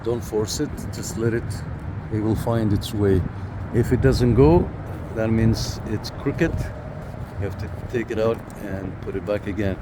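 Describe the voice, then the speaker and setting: A man's voice: lowish voice, a little slow. A man giving a casual hands-on product review as a video host, talking informally and directly to viewers on a handheld camera. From Canada. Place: outdoors in a parking lot and inside a parked car. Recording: noisy outdoor recording with car sounds.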